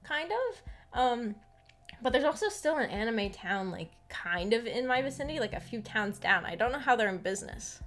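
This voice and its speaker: An American woman streamer chatting casually in a rambling, halting, giggly way. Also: a little high, sing-song, a bit bright. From United States. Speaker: streamer, visual novel book club host. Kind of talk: casual livestream chat. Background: background music.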